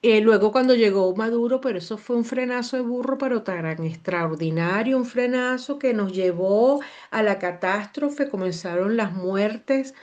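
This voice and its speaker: A Venezuelan woman, an astrologer, explaining in an animated, digressive way and repeating words for emphasis. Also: a little high, normal speed, hardly any pauses, lo-fi sound. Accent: Venezuelan Spanish. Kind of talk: casual explanatory talk.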